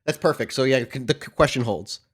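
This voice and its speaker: A man's voice: mid-range voice, a little fast, slightly rough. A man acting as storyteller of a tabletop roleplaying game, casually narrating scenes and refereeing the players.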